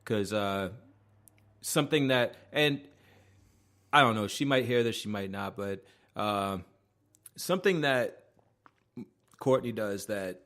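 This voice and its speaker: A man co-hosting a podcast, chatting casually in a halting way, stacking "like" and restarts and swearing now and then. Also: on the low side, animated, a bit bright. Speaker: podcast co-host. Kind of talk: casual chat.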